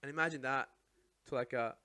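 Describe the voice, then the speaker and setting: A young man from Iraq whose first language is Arabic, recounting his life story as a podcast guest in a studio, chatty and animated, often adding "right?". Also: medium pitch, a little fast, no room sound. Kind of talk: casual storytelling chat.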